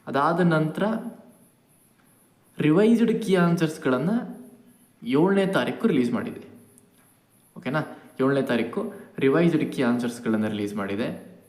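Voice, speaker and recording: A man's voice: on the high side, animated, unhurried, long pauses, a little mellow. A man giving an informal update to viewers as a channel host, explaining step by step and mixing in English words. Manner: explanatory, repeats key points, mixes in English words. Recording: echoey room.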